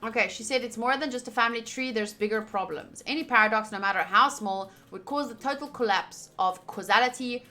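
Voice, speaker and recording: A woman streamer reading game text aloud and reacting out loud with exclamations as she comments. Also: fairly high pitch, brisk, few pauses, faint music behind. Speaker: visual novel playthrough streamer.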